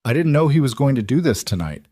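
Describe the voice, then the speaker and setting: A man's voice: mid-range voice, big pitch swings, fast, dark tone, no room sound, pitched above the performer's own voice. A guarded young mafia heir in an audiobook thriller, played by a male narrator. He sounds conflicted and reluctant, in a dry recording with no room sound.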